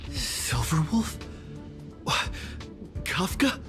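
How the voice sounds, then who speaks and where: A man's voice: on the high side, big pitch swings, drawn-out words, music in the background, heavily acted. A man playing a bewildered but determined young traveler in an anime game, speaking in hesitant, pensive monologue. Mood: startled.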